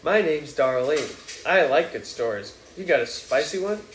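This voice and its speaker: A man reading aloud and voicing a blunt, brassy blonde woman at a bar who speaks slowly and drawls. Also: a little high, lively intonation, reverberant, a little hiss or hum, lightly acted.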